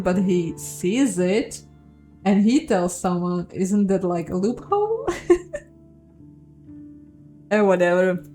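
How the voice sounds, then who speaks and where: A woman's voice: mid-range voice, darkish tone. A woman playing through a story game, reading it out and commenting casually as she goes. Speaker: video game streamer and narrator.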